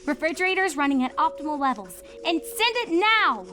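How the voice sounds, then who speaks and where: Woman voicing an excitable, high-pitched young pony student. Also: brisk, exaggerated voice. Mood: frantic. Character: earnest, excitable young female magical pony student, bossy under pressure.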